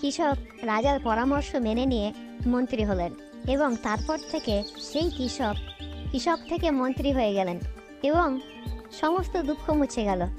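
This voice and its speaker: A woman narrating a cartoon folktale in a steady scripted storytelling manner. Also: high-pitched, a little slow, music in the background.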